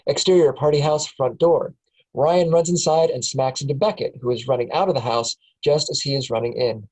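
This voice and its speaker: A woman reads a screenplay's stage directions aloud at a casual table read. Her tone is mellow and dark, and she keeps breaking into laughs as she reads. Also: very deep voice, brisk, poor audio. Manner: laughs often while reading. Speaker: narrator reading stage directions.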